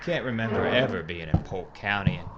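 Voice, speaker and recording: An actor playing a wry Old West gunfighter in an audio drama, with a sardonic, deliberate manner. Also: male voice, sits low, animated, dull audio. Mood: dry, threatening. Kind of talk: Western audio drama acting. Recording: heavy background noise.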